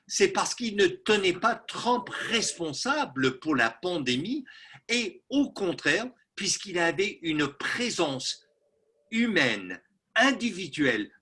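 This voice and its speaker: A man's voice: a little high, sharp tone, breathy edge. A man from Texas, a political analyst answering in a radio interview, emphatic and insistent as he drives home his points. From Texas, USA. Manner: emphatic, insistent, with slow stressed phrases.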